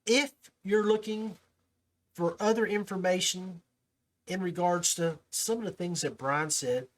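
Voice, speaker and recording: A man's voice: sits high, measured pace. An American man hosting a podcast, talking casually in folksy, informal speech. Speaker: podcast host. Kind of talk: casual podcast talk and interview.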